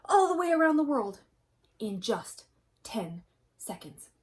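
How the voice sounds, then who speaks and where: A woman storyteller reading a picture book to young children, expressive and emphatic, chatting to them with questions. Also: fairly high pitch, a little slow, a little hiss or hum. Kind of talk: animated storybook read-aloud with commentary to young children.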